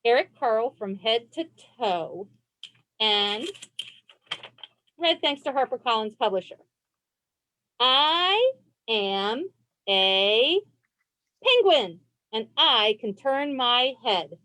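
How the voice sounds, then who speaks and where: A woman librarian reading a children's picture book aloud in an expressive, encouraging way. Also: on the high side, takes it slowly, long pauses. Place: indoors on a video call.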